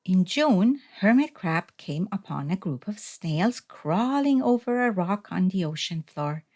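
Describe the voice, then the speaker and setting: A woman narrates a children's picture book at a slow pace in a medium-pitched voice. Her delivery is deliberate and expressive, clearly scripted storytelling. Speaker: storybook reader.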